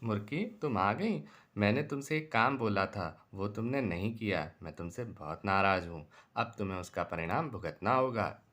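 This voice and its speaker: An actor voicing a solemn, stern talking tree or mound in a children's folk tale cartoon, slow and calling out. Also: deep voice, expressive, fairly bright tone. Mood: displeased, threatening. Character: talking jujube tree and dirt mound, stern male spirits.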